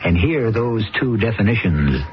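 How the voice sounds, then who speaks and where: A man hosting a mystery radio show, introducing the drama in a measured, ominous way. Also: lowish voice, sing-song, slight background noise. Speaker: host. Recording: dull old radio broadcast with music.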